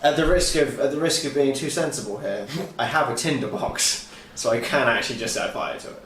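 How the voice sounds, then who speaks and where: A man's voice: mid-range voice, a little fast, fairly bright tone. A man playing a tabletop game, casually talking through tactics with the group. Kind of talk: casual chat, tactical planning. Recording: distant mic, roomy echo, faint noise.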